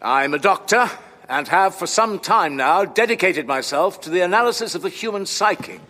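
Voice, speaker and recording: An actor playing a courteous, long-winded, analytical doctor in a formal, measured audio drama performance. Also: male voice, sits high, expressive, pushed and bright, big-room sound, a little hiss or hum. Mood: courteous, explanatory. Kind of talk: full-cast audio drama acting.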